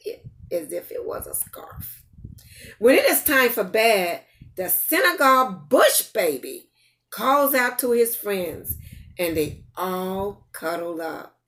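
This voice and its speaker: Older woman, slow, very lively storytime reader. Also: medium pitch. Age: older, grandparent. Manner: expressive, playful, addresses children directly. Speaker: storytime host reading to children. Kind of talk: children's picture-book read-aloud with questions to young listeners.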